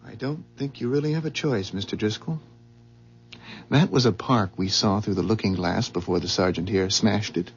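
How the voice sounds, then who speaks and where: An actor playing an earnest, anxious, ordinary husband in a 1970s radio drama, speaking a little hesitantly. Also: male voice, lowish voice, normal speed, lightly acted. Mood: resolute. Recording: dull, hissy 1970s radio recording.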